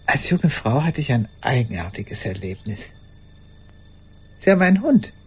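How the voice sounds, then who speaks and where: An actress playing an old woman in a radio play monologue, courteous and haunted, recalling the past in a halting, breathy, raspy voice. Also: extremely low pitch, sing-song, heavily acted. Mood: musing, uneasy. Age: older. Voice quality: husky, raspy, breathy, often dropping to a whisper. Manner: deliberate, reflective, halting.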